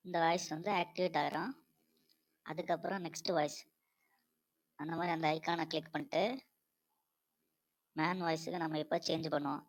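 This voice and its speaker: A man's voice: a little high, flat delivery, normal speed, long pauses, voice pushed up. A man speaking through a voice changer's child preset, plainly giving instructions in an app tutorial. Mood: instructional. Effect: voice changer, raised child preset.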